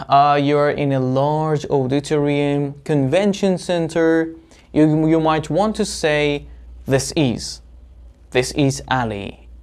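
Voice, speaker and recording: A man giving an English lesson to viewers, explaining deliberately as a teacher with example phrases. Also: a little high, darkish tone, clean tone.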